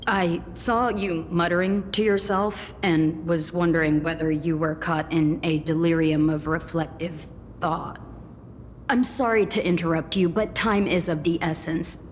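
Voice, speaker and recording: An actress playing a dutiful young female messenger in a game, formal and hurried. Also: fairly low pitch, big-room sound, a little hiss or hum, close to an everyday voice. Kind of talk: game voice acting.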